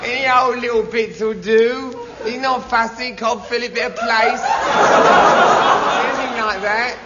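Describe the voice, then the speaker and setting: A high-voiced, nosy London neighbour. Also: male voice, fairly even intonation, slow, a crowd in the background. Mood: chirpy, cadging. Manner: drawling, intrusive, pessimistic. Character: nosy, cheeky, gloomy know-all male next-door neighbour. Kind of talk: 1950s British radio sitcom acting. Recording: muffled old radio, loud hiss, studio audience.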